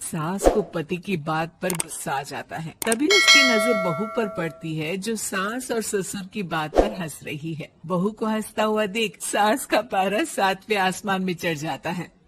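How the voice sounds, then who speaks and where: A woman's voice: medium pitch, animated, unhurried, few pauses, heavy background noise. A woman narrating a scripted moral story for a cartoon.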